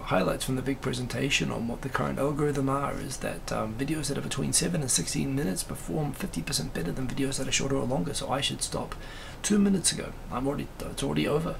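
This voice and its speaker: Gravelly man chatting on a live stream. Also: medium pitch, fast, hardly any pauses, background noise. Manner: rambling, many fillers and asides. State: raspy voice. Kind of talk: casual live-stream chat reading comments.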